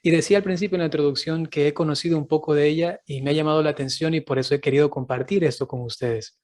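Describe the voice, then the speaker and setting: A man who is a priest hosts this interview over a video call, speaking in a fairly high voice. He greets his audience and laughs often along with his guest. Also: fairly even intonation, fast talker, darkish tone. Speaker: priest and channel host. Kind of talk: interview host.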